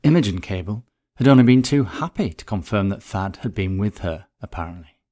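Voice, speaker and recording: A man with a fairly low-pitched voice narrates a scripted short story. He reads as the narrator of a whodunnit, delivering prepared prose. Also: lively intonation, brisk, dark tone. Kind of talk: scripted narration of a murder mystery.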